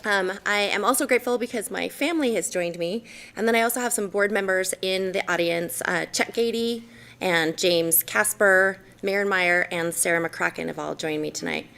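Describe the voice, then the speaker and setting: A woman's voice: mid-range voice, moderate pace, vocal fry, a bit bright. A woman in her forties from Idaho giving a formal presentation in a city council chamber, earnest and sprinkled with ums and self-corrections. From Idaho, USA.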